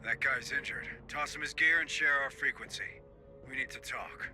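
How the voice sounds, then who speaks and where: An actor playing a tough, suspicious marine squad leader who speaks in a curt, commanding way in a video game. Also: male voice, mid-range voice, moderate pace, sharp tone, soft background music. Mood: guarded, stern. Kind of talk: video game cutscene acting.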